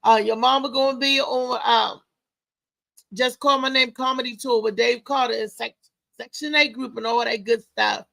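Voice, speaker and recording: A woman hosting a casual live show as a comedian, talking in a loud, lively, chatty way with lots of exclamations. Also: fairly high pitch, normal speed, gravelly. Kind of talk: casual live-stream chat and promotion.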